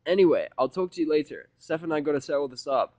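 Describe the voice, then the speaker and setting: A man's voice: fairly high pitch, lively intonation, quick pace, a little mellow, no echo, highs cut off, pitched above the performer's own voice. A man voicing a laid-back, friendly female DJ cartoon pony with a casual, slangy way of talking. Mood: relaxed, upbeat.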